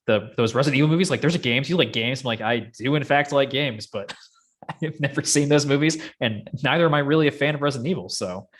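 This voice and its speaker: A man's voice: medium pitch, quick pace, a bit bright. A man in a casual, rambling, opinionated chat about films and games, as a podcast host.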